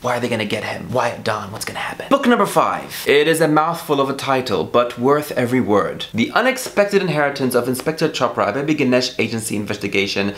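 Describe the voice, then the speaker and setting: A man with a medium-pitched voice talks about his new books in a loose, chatty way. His first language is Arabic. He sounds eager, and he often softens a claim with a quick "I think.". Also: very animated, reverberant. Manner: enthusiastic, chatty, trails off with hedges like "I think". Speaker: book vlogger. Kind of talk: casual book haul chat to camera.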